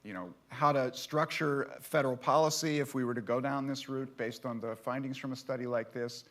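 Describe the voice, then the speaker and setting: Measured man speaking at a policy forum. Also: mid-range voice, steady tone, fairly bright tone. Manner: measured, hesitant with fillers. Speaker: panelist. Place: conference hall.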